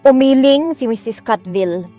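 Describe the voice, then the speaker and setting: A woman's voice: a little high, animated, moderate pace, warm tone. A woman narrating a scripted first-person drama story, with music behind her. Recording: music bed under the voice.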